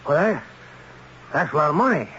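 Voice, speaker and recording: An actor playing a swamped, blustering but weak office boss in an old-time radio drama, spluttering and husky. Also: male voice, a little high, sing-song, brisk, a bit bright, slight background noise. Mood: flustered. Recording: tinny old radio recording.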